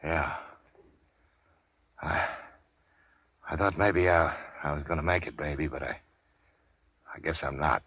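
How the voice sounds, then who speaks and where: A man's voice: extremely low pitch, fairly even intonation, measured pace, pauses often, fairly bright tone, breathy edge, dry recording, heavily acted. A man acting a wounded gangster boss in a 1940s radio crime drama, tough, slangy and laconic. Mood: weakening. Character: wounded, wisecracking gangster boss, cool and defiant. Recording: dull 1940s radio recording.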